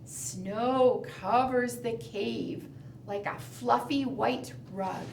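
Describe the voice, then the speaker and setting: Slow, high-voiced woman reading to preschoolers. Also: creaky. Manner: sing-song, addressing young children with questions. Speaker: children's librarian leading preschool storytime. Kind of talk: read-aloud storytelling and fingerplay for young children. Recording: roomy, distant mic, faint background noise.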